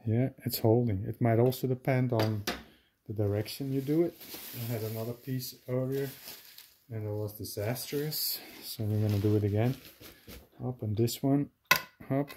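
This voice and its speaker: A man giving a casual hands-on demonstration at a table, talking haltingly with many fillers and self-corrections. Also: mid-range voice, steady tone, warm tone, a little hiss or hum. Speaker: DIY video maker. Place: indoors at a table.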